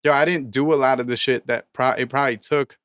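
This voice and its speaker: Emphatic profane man, smooth and dry. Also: mid-range voice, steady tone, quick pace, a bit bright, no room sound. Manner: emphatic, profane, preachy motivational rants. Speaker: podcast co-host, rapper. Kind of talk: casual podcast chat.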